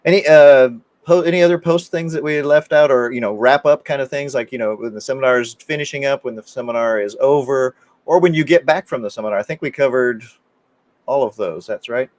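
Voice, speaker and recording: A man co-hosting a podcast in casual conversation, agreeable and thoughtful, often chiming in with quick affirmations. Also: mid-range voice, no echo. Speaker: podcast co-host.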